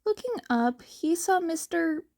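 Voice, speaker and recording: A woman's voice: very high voice, expressive, a little slow, gravelly, darkish tone. A woman narrating fan fiction as an amateur audiobook reader.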